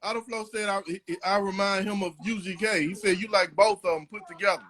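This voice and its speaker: A man's voice: sits high, fairly even intonation, brisk, a bit bright. Laid-back man in his forties. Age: 40s. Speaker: guest on a live show. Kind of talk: casual chat.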